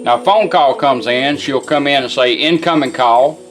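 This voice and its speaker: A man's voice: mid-range voice, normal speed. Plainspoken man demonstrating, music playing behind. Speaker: presenter demonstrating restored vintage car radios. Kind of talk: casual hands-on product walkthrough. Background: music from the demonstrated radio.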